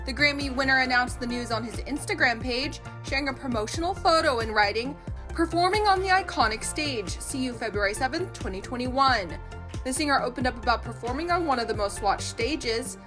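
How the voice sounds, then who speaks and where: Female news narrator, high voice, scripted entertainment report, music underneath. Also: brisk. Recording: music bed under voice-over.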